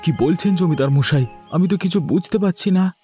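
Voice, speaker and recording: A man acts as a poor village father in a cartoon moral tale. His voice sits a little high, respectful and pleading toward his betters. Music is clearly heard behind him. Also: brisk, dark tone, natural voice. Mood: bewildered. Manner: meek, pleading. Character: humble, deferential poor village fisherman and adoptive father. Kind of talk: dramatic cartoon story acting.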